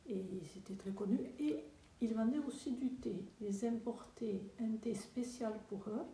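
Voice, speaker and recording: A woman joining an interview and chatting in a lively way about old times. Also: a little high, normal speed, echoey room, far from the mic, a little hiss or hum. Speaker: guest's wife. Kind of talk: casual conversation.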